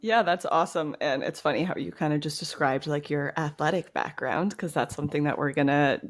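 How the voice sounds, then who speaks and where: A podcast host with a voice of middling pitch asks casual interview questions. The tone is friendly and easygoing, full of "like" and "kinda". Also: a little fast. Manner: friendly, laughs along, uses like and kinda.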